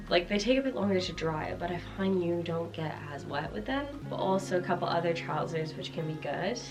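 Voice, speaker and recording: A young woman vlogger chatting casually about her clothes, a little croaky from a cold, with lots of fillers. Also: low voice. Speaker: fashion vlogger. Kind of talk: casual chat showing clothes. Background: lo-fi music.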